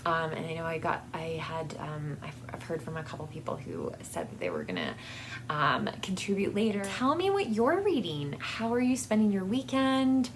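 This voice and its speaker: A woman chatting casually as a book vlog host, in a halting, hesitant way that often trails off mid-thought. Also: deep voice, big pitch swings, a little hiss or hum.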